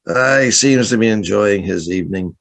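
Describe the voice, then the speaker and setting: A man playing a sly, scheming boat captain in a radio play, insinuating and mock-polite with a gravelly voice. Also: fairly low pitch, normal speed, fairly bright tone. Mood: smooth, probing. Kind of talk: radio drama acting with confiding narration.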